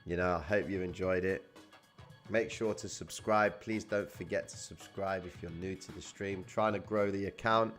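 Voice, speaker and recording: A man's voice: deep voice, fairly even intonation, normal speed, fairly bright tone, faint music behind. A young man from Cyprus, casually hosting a trading livestream and answering viewer comments in slangy, laid-back chat.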